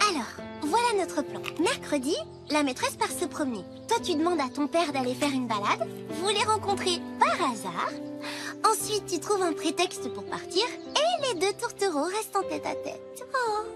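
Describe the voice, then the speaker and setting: A woman voicing a playful, romantic, scheming schoolgirl in a French cartoon, excitable and theatrical. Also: extremely high pitch, moderate pace, music in the background. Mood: eager, scheming. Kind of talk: French animated series voice acting.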